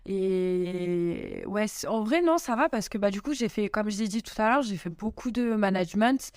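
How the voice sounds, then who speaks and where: Young French woman, smooth and hesitant. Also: medium pitch, animated, normal speed, darkish tone, no echo, clean studio sound. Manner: hesitant, many fillers and restarts. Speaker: guest. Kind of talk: casual interview answers. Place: home in Italy.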